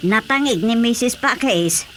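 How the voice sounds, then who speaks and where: A woman's voice: on the high side, lively intonation, measured pace, fairly bright tone, faint music behind. A woman acting a wary, outspoken village woman who scolds, in a radio comedy drama, with an Ilocano Filipino accent. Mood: scolding.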